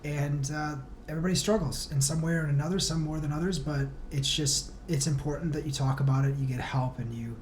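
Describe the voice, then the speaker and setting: A man with a fairly high voice talks as a guest on a casual podcast. He is earnest and emphatic, with informal wording. There is faint background noise. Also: fairly even intonation, a little fast, few pauses, darkish tone. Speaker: band singer, podcast guest.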